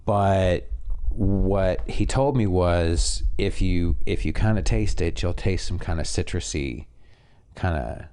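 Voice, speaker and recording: A very low-pitched man from Canada with a nasal tone talks on a podcast mic. He co-hosts in a relaxed, easygoing way, with plenty of ums and uhs. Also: clean tone. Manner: relaxed, unhurried, many fillers. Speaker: podcast co-host. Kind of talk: casual podcast chat. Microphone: podcast microphone.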